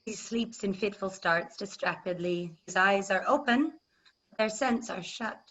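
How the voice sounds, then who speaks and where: A woman's voice: mid-range voice, a little slow, fairly bright tone. A woman playing a resolute, grieving English queen in classical verse over a video call. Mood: sorrowful. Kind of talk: classical verse stage acting. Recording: muffled video-call audio.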